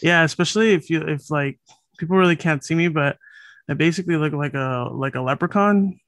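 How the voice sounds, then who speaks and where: A man in his late thirties from Los Angeles, a podcast guest talking in a relaxed, thoughtful way with many fillers and restarts. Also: on the high side, fairly quick, no echo. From Los Angeles, USA. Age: late 30s. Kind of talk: casual conversational interview. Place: home room, over a video call.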